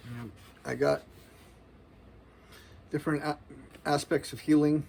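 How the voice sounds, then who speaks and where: Forties male vlogger, gravelly, casual spiritual musings, slangy and earnest. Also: a little high, steady tone, measured pace, a little mellow, slight background noise. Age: 40s. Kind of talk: rambling spiritual monologue.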